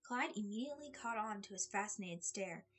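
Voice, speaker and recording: A woman reading a story aloud in an expressive audiobook style, with a slightly husky voice. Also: medium pitch, a little fast. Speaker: fan fiction reader. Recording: music under the voice. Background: background music.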